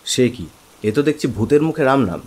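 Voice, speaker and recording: A man playing a teasing, impatient friend with a husky voice, bantering and prodding in an animated story. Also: medium pitch, moderate pace, lightly acted. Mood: teasing, curious. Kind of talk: dramatized animated horror story. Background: rain.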